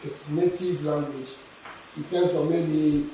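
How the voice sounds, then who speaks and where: A man's voice: a little high, steady tone, takes it slowly, mellow, slight background noise. Distant man in echoey kitchen. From Ghana. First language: Ghanaian language. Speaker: cooking competitor. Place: rental apartment kitchen.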